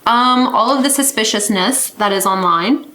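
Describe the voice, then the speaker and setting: A woman co-hosting a wellness podcast in casual chat, playful and quick to laugh. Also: a little high, bright, forceful tone, echoey room, slight background noise, rough recording. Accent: English-speaking. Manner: giggly, playful, joking. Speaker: podcast co-host.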